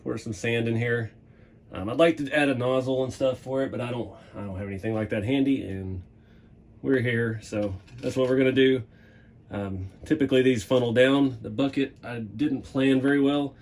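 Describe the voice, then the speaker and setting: A man hosting a hobby vlog from his workshop, casually rambling and chuckling, his voice going hoarse. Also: mid-range voice, normal speed. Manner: relaxed, rambling, chuckles often. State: losing his voice. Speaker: hobby channel host. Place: home workshop.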